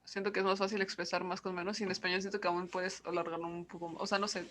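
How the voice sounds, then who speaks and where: A woman's voice: fairly low pitch, level tone, quick pace, fairly bright tone, a little hiss or hum. A young woman student, talking casually in class and rambling with many fillers. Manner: rambling, full of "o sea".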